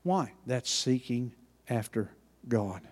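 Folksy Oklahoma preacher, soft then loud. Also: male voice, medium pitch, animated, slow, in a large hall. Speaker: pastor. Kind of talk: sermon. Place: church.

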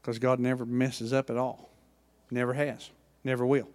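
A man with an Oklahoma accent preaching a sermon in a church, with a folksy manner, dropping low and quiet and then rising loud. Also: little pitch movement, moderate pace, long reverb tail. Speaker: pastor.

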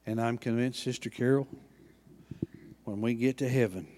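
A man with an Oklahoma accent preaching a sermon in a church, with a folksy manner, dropping low and quiet and then rising loud. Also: steady tone, normal speed, in a large hall. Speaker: pastor.

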